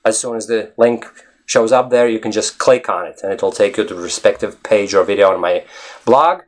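A man with a Latvian accent and a lowish voice talks straight to his viewers as a language-learning vlogger. His delivery is conversational and emphatic, with frequent checks that listeners understand him. He is a native Latvian speaker. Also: brisk. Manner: emphatic, conversational, often checks with "you know what I mean". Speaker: online English-learning vlogger. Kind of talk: casual explanatory talk to viewers.

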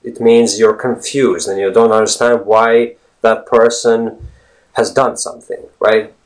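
Low-voiced man teaching English. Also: flat delivery, measured pace, poor audio. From Latvia. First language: Latvian. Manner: chatty, digressive, repeats and rephrases points. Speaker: English teacher and video host. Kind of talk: informal language lesson to camera.

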